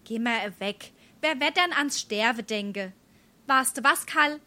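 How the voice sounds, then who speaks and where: Junge Hessin, hell und hoch. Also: female voice, on the high side, animated, slow, bright, forceful tone, exaggerated voice. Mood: coaxing. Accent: Darmstadt Hessian. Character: pert, tender young daughter in love. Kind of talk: stage dialect comedy acting.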